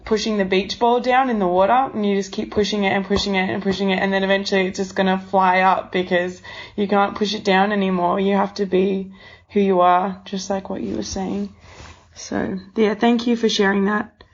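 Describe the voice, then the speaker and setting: A woman's voice: mid-range voice, little pitch movement, a little fast. A woman interviewer speaking conversationally, with an encouraging, affirming manner. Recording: slightly dull, lo-fi sound.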